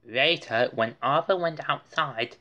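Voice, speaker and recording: A woman reading a children's storybook aloud in an animated way, acting out the characters. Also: unhurried, muffled recording. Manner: highly animated, acts out each character. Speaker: storybook reader.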